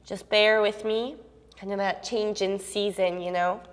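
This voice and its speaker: A young woman guiding a yoga class at an even, unhurried pace, her cues calm and steady. She sounds a bit sniffly from a cold and speaks into a clip-on mic. A long reverb hangs on her voice, as in a large room. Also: medium pitch. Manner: calm, steady cueing with soft fillers. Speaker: yoga instructor.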